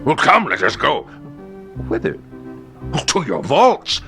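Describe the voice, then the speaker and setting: A vain, drunken reveler in a gothic tale. He blusters and boasts in slurred exclamations, with a pitch that leaps about and a creaky, forceful edge. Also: wildly swooping pitch, slow, faint music behind, heavily acted. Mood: eager, scornful. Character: drunken, blustering, vain male wine connoisseur in carnival motley.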